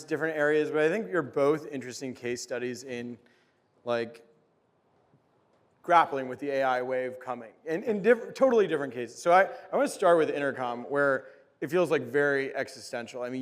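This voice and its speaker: A man moderating a conference panel, putting questions in a conversational, loosely phrased and halting way. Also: a little high. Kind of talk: conversational on-stage interviewing. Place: stage at a conference.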